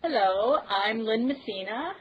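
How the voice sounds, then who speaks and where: Unhurried woman on dull audio. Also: a little high, animated, breathy edge. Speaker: podcast guest. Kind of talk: casual self-introduction. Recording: dull, band-limited call audio.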